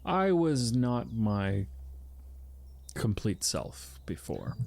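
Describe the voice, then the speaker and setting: An actor in an audio drama playing an earnest personified emotion, with stiff, archaic phrasing and halting delivery. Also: male voice, fairly low pitch, very animated, creaky, a little mellow, slight background noise, heavily acted. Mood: gentle, formal. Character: Fear, formal earnest male personified emotion, newly calm.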